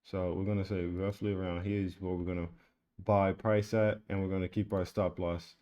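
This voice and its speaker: A man with a low, mellow voice talks through a price chart in a relaxed, repetitive way. He keeps a flat, even tone as he points out levels and entries, like a casual screen-share lesson. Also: moderate pace. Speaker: trading educator. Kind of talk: screen-share trading tutorial.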